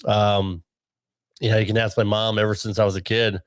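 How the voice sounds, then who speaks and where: A middle-aged man with a deep radio voice, talking casually on a podcast in a relaxed, chatty way with frequent ums and restarts. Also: brisk, no room sound, studio quality. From Connecticut, USA. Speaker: podcast producer and guest.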